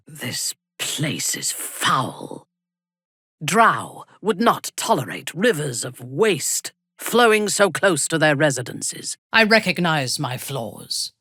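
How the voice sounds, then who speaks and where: A ruthless female drow warrior for a fantasy video game. Her voice is low and husky, delivered slowly and with haughty, formal diction. The intonation moves widely, from cold seduction to imperious command. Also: fairly bright tone, dry recording, studio quality, exaggerated voice. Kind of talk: video game character acting.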